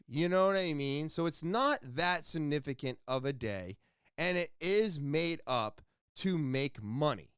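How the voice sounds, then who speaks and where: A man's voice: fairly high pitch, fairly bright tone, clean tone, no echo, studio quality. A man hosting his own podcast, talking alone in a casual, earnest and rambling way, blunt and swearing freely. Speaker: podcast host. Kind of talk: casual solo podcast monologue.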